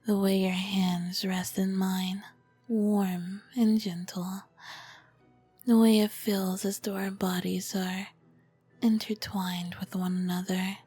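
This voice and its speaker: Casting: female performer as charming, dangerous royal vampire queen for ASMR roleplay; breathy whispered delivery, unhurried, courtly and flirtatious. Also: medium pitch, warm tone. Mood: tender, admiring. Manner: slow, formal, flirtatious phrasing. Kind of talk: ASMR roleplay, scripted and intimate.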